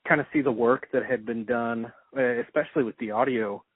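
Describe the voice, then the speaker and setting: A man's voice: medium pitch, steady tone, a little fast, breathy edge, no room sound. A man interviewing a guest casually, putting his questions in a tentative, hesitant way. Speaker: interviewer, podcast host. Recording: muffled, phone-like narrow-band recording.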